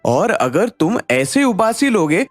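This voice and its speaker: A woman voicing a cheeky, scheming schoolboy who explains glibly, in an animated story. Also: mid-range voice, takes it slowly, dry recording, faint music behind. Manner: glib, explaining.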